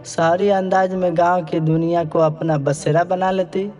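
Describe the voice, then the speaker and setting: A man voices a weary young husband in a cartoon moral tale. His voice is fairly high, earnest and reproachful, with faint music behind it. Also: little pitch movement, measured pace, a little mellow, lo-fi sound. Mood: firm, reproachful. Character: fed-up young village husband, dutiful son. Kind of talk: Hindi moral-story cartoon dubbing.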